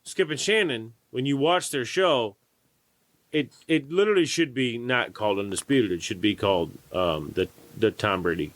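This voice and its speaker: A man's voice: mid-range voice, lively intonation, moderate pace, dry recording. A man in his thirties co-hosting a casual podcast, bantering in a wry, laid-back way. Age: 30s. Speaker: podcast co-host. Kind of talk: casual chat.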